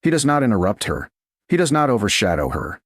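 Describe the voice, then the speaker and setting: A man narrating a romance story in a slow, soft, deliberate storytelling manner. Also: lowish voice, very animated, slightly rough. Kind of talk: scripted audiobook narration of a romance.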